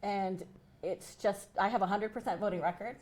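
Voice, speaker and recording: A woman activist, a guest on a talk show, speaking in an animated way with frequent "you know". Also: medium pitch, moderate pace, a little hiss or hum. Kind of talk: casual chat.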